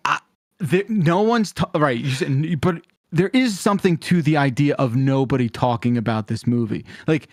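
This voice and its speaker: A man's voice: fairly high pitch, moderate pace, no room sound, clean studio sound. A man hosting a podcast in casual chat, swearing and rambling emphatically with exasperation. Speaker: podcast host.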